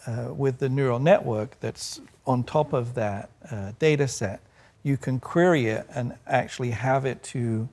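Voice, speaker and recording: A man's voice: medium pitch, a little mellow. A man speaking as a computing professor, plainly explaining ideas to a panel audience.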